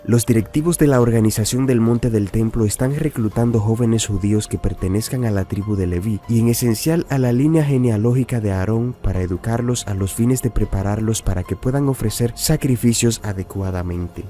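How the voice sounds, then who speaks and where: A man reading a scripted religious news report in a measured, even way. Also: on the low side, faint music behind. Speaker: narrator. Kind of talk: scripted narration.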